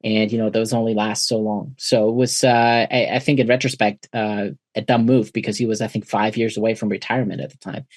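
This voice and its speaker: A man's voice: lowish voice, flat delivery, brisk, hardly any pauses, no echo. A German-born man in his forties, a native German speaker, reflecting on his past as a podcast guest, with frequent fillers and restarts. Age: 40s. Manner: thoughtful, many uh fillers and restarts. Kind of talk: reflective interview conversation.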